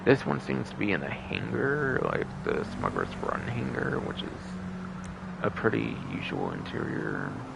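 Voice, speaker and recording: A man's voice: low voice, wildly swooping pitch, a little slow, vocal fry. A man giving casual, rambling livestream commentary on a game, often repeating himself, in a noisy recording. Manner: rambling, repeats stock phrases over and over. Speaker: livestream host.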